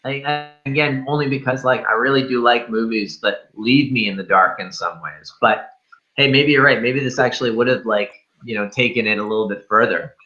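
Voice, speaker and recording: A man chatting casually about films as a podcast host, in a hesitant, halting manner. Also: medium pitch, bright, forceful tone, muffled recording. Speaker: film review podcast host. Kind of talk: casual movie discussion.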